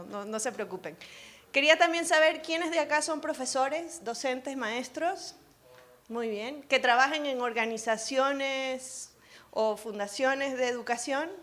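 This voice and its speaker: A high-pitched woman with an Ecuadorian accent softened by time in Chile. She is middle-aged and speaks at a conference, drawing the room in with questions in a relaxed, conversational way. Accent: Ecuadorian with a Chilean tinge. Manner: engages the audience with questions, conversational. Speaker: session host and speaker. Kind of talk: conference masterclass talk.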